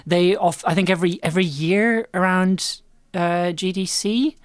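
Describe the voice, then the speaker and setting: Higher-voiced man hosting a casual chat. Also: fairly even intonation, moderate pace, clean tone, no echo, clean studio sound. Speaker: host. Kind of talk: casual livestream group chat.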